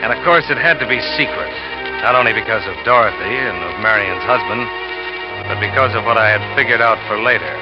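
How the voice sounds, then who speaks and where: An actor playing a smooth-talking, scheming salesman who narrates his own story in 1940s radio drama style, boastful and quietly cold. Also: on the low side, a little fast, natural voice. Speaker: narrator and lead character. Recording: dull old radio recording with music.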